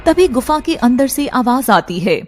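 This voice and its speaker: A woman's voice: a little high, sing-song, measured pace, soft background music. A woman storyteller delivering scripted, dramatic narration for a cartoon tale.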